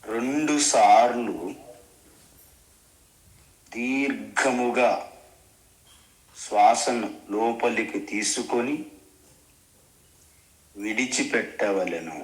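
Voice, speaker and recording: Very slow-spoken man guiding meditation, roomy echo. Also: mid-range voice. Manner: measured, with long pauses between short phrases. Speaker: swami leading guided meditation. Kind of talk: guided meditation instruction. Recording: roomy, echoing sound.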